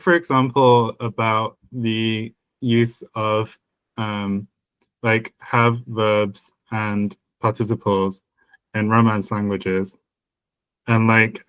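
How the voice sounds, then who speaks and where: A man's voice: lowish voice, fairly bright tone, smooth, no echo, low-quality recording. A man co-hosting a podcast, chatting casually about language, rambling with many restarts and laughing at himself. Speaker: podcast co-host.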